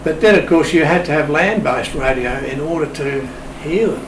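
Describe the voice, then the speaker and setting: A man's voice: fairly high pitch, moderate pace. An Australian man being interviewed, chatting and telling stories in a discursive, unscripted way. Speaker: interview guest. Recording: roomy, distant mic, loud room hum.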